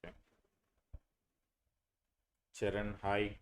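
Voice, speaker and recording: A man's voice: lowish voice, completely flat delivery, drawn-out words. A young man, teaching a live online class on a video call, hesitant with many fillers and restarts. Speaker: online course instructor.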